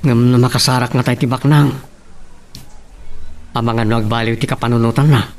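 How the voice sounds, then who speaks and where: Casting: actor as downhearted poor suitor, young male, average pitch, audio love story. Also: fairly even intonation, a little fast, darkish tone, lightly acted. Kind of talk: Ilocano audio drama acting.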